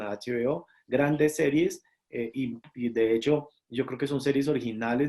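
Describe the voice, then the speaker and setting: A man's voice: medium pitch, darkish tone. A Colombian man, a series producer, answering interview questions in a thoughtful way with many fillers and restarts. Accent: Colombian Spanish.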